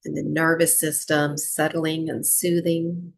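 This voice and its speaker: A woman teaching breathwork, guiding a meditation in short, unhurried phrases with long pauses between them. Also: low voice, flat delivery, vocal fry. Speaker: breathwork and yoga nidra teacher. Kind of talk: guided meditation, slow soothing instruction.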